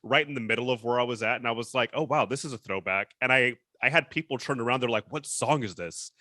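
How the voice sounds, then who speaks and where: A man's voice: mid-range voice, fast talker, pushed and bright, no room sound. A man hosting a casual interview, easygoing and upbeat, with many ums. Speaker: interviewer, host.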